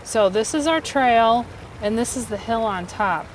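A woman in her forties teaching a quick watercolor sketch outdoors, explaining each step in a relaxed, encouraging way. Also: on the high side, poor audio. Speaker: watercolor instructor. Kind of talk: outdoor painting demonstration. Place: outdoors by a stream. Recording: faint stream noise behind.

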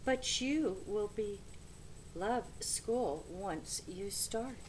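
A woman voicing a gentle, reassuring mother raccoon in a children's storybook, soothing and tender. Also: mid-range voice, expressive, drawn-out words, a little hiss or hum, rough recording.

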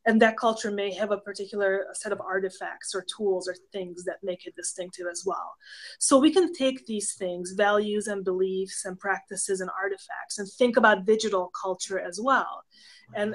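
A woman in her thirties speaks with a Hungarian accent, explaining ideas patiently and listing one example after another. She talks over a webcam on a video call from a book-lined home office. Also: mid-range voice. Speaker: professor of pastoral theology, guest. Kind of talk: casual interview conversation.

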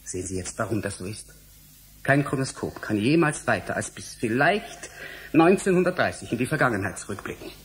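A man's voice: mid-range voice, animated, a little fast, lightly acted, rough recording. A male actor plays an earnest young scientist in a radio drama. He is blunt and impatient, explaining emphatically in a mid-range voice. Faint background noise sits under the dialogue. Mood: insistent. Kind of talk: German radio drama acting.

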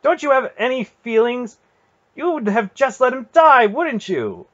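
A defiant young boy, played by a male narrator, pitched well above an adult man's talk. He sounds heated, hurt and indignant in a dramatic fantasy storybook. The audio is a little dull. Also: animated, unhurried, full character voice. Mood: angry. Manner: protesting, heated, strained.

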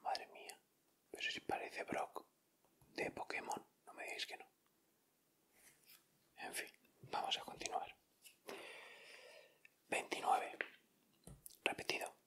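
A man with an accent from Spain, speaking in a breathy, unvoiced whisper as he gives casual ASMR commentary. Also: slow pace, long pauses, pushed and bright. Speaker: ASMR video host. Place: indoors at a table.

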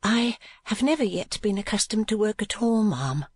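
Narrator playing a timid, quiet young woman. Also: animated, moderate pace, slightly rough, no echo. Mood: timid, reserved. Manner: quiet, low-voiced, respectful.

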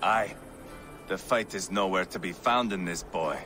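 An actor playing a brash, mocking Viking warrior in a video game, taunting and boisterous. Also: male voice, low voice, unhurried, music in the background.

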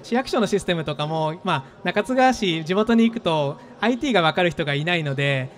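A man in his thirties, a startup founder being interviewed on an expo stage, talking quickly and thoughtfully with many fillers into a handheld mic. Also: a little high, expressive. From Japan, Gifu. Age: 30s.

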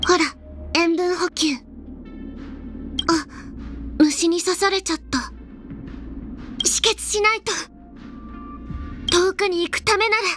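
A woman voicing an aloof young female snowfield explorer in a game, speaking low-key and softly deadpan. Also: full character voice. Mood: eager. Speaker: game character voice. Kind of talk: game voice lines, Japanese dub.

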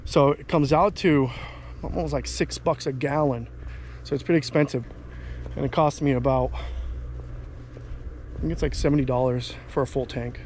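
A man's voice: mid-range voice, steady tone, moderate pace, pauses often, darkish tone, slight background noise. A man in his thirties casually vlogging outdoors while walking along a country road, rambling and thinking aloud. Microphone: handheld camera at arm's length.

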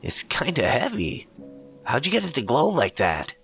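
A man's voice: medium pitch, huge pitch swings, moderate pace, vocal fry, fairly bright tone, faint music behind, voice pushed up, full character voice. Man doing a reckless, nonchalant buddy. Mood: curious. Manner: needling, matter-of-fact.

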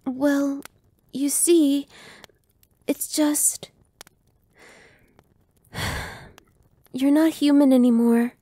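A young woman voicing a gentle female vampire who speaks softly and slowly, sometimes whispering. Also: high-pitched, fairly even intonation, dry recording. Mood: soothing. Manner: soft, slow and reassuring, dropping to whispers. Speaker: voice actress. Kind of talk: ASMR roleplay.

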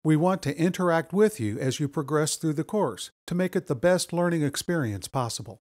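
A man reading scripted instructional narration as a course instructor, explaining in a measured way. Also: fairly high pitch, expressive, darkish tone.

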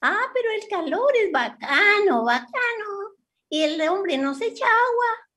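A little tomato squeaks extremely high with swooping pitch. It insists in simple phrases, as in an improvised radio play. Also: big pitch swings, measured pace, sharp tone, heavily acted. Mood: cheerfully insistent. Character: squeaky little tomato who loves heat. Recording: video call.

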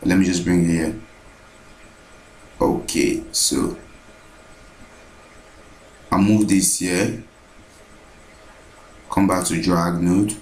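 Slow, low-voiced man narrating a screen tutorial. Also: reverberant, rough recording. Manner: steady, repetitive step-by-step narration, thinking aloud. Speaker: architecture software tutor.

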